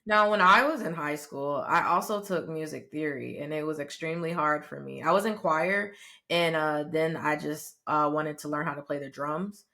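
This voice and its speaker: A woman's voice: sits low, normal speed, gravelly, bright, forceful tone. A woman hosting an interview podcast, asking chatty questions with some restarts. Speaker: podcast host.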